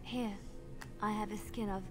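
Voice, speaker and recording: A woman's voice: medium pitch, steady tone, unhurried, close to an everyday voice. An actress playing a caring woman companion in a fantasy video game. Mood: concerned. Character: caring woman companion offering help to a prisoner. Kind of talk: dramatic video game voice acting. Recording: music behind the voice.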